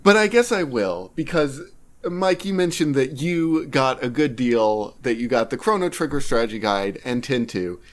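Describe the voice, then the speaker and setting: A man's voice: medium pitch, animated, normal speed. A man co-hosting a podcast, chatting casually about games in a wry, self-mocking way. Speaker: podcast co-host. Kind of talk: casual anecdotal game talk.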